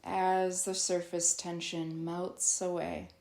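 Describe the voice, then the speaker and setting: A woman leading a guided yoga nidra meditation as a teacher, soothing and instructional, with long pauses. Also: lowish voice, little pitch movement, darkish tone, roomy sound.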